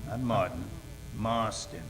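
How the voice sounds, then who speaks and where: An actor playing a blunt, impatient, wisecracking private detective in an old-time radio noir parody. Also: male voice, on the low side, takes it slowly, fairly bright tone, a little hiss or hum. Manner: blunt, impatient, hard-boiled.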